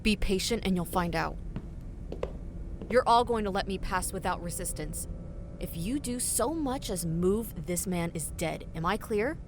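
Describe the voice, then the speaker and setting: A woman's voice: mid-range voice, expressive, background noise. A woman voicing a cold, smug spy leader who threatens her captors in an audio drama. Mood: commanding. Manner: smug, menacing, measured.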